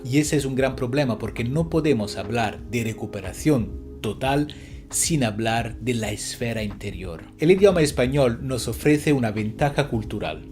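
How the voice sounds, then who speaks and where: Male educational presenter, native Italian speaker, mid-range voice, instructive scripted delivery over a clearly audible music bed. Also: medium pitch, a little mellow. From Italy. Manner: didactic, rhetorical questions. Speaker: stroke rehabilitation therapist presenting an educational video. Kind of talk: scripted educational narration addressed to viewers.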